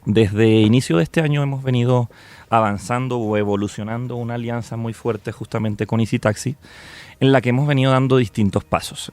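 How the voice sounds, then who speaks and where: A man in his thirties, a company director, answering questions in a radio studio interview in a measured, businesslike way. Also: medium pitch, darkish tone. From Colombia. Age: 30s. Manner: measured, businesslike explanations with small restarts. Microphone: studio microphone.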